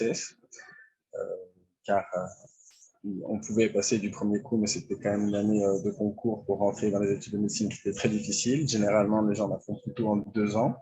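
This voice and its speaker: A man in his twenties from France, a medical intern, talking hesitantly about his career with many pauses and fillers. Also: lowish voice, darkish tone, low-quality recording. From France (Lyon). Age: 20s. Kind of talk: online panel talk about his career.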